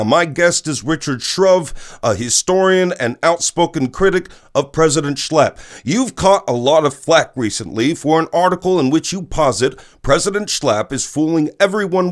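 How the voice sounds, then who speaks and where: Actor as higher-voiced political news host. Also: male voice, close to an everyday voice. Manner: formal, challenging, broadcast delivery. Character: brisk, probing male American political news host. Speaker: political talk show host. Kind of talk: scripted news interview in audio drama.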